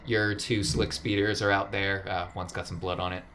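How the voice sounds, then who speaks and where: A man's voice: deep voice, level tone, normal speed, a bit bright. A man narrating a tabletop game casually as game master, with noise in the recording.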